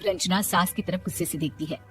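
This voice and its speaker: A woman's voice: on the low side, steady tone, a little fast, breathy edge, faint music behind. A woman narrating a cartoon story in a plain, matter-of-fact way.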